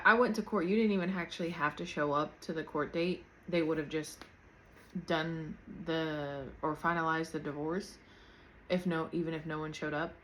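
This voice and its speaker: A woman's voice: sits low, a bit bright, poor audio. A thirty-year-old woman hosting her own podcast at home, talking candidly and loosely without a script, laughing lightly now and then, picked up by her camera's built-in mic. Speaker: podcast host. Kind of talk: unscripted casual personal talk. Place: at home, in pajamas. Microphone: camera's built-in mic, external mic unplugged.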